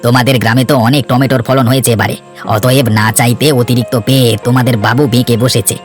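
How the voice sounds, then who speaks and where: A man's voice: medium pitch, flat delivery, fast, faint music behind, lightly acted. A man voicing a scheming, persuasive trader, the villain of a cartoon folk tale. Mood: scheming, coaxing. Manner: sly, coaxing, self-assured. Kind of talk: Bengali cartoon fairy-tale dialogue.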